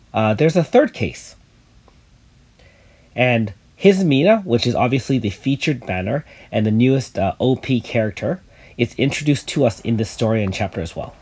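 A man with a slightly raspy voice from illness, chatting casually over game footage as a YouTube host, with many fillers. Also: medium pitch, big pitch swings, moderate pace, darkish tone. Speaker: gaming YouTuber.

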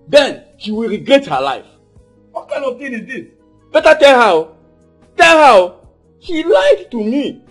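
A man's voice: high-pitched, a bit bright, slightly rough, heavily acted. A man with a Nigerian accent playing an angry, exasperated husband in a film drama, repeating his demands insistently. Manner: agitated, repeats phrases insistently.

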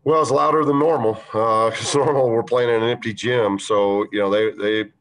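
A man coaching a basketball team, answering reporters' questions after a game, measured and halting with many fillers. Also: mid-range voice, fairly even intonation, fairly bright tone, poor audio. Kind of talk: post-game press conference answers.

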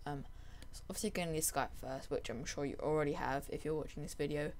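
A young adult presenter giving a casual software tutorial in a voice-over. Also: medium pitch, steady tone, fast, slight background noise.

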